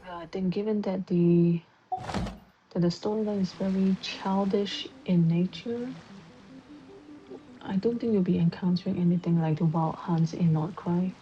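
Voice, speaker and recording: A woman's voice: fairly low pitch, fairly even intonation, moderate pace. A woman streamer giving casual commentary while she plays a game. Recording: dull mic with faint noise.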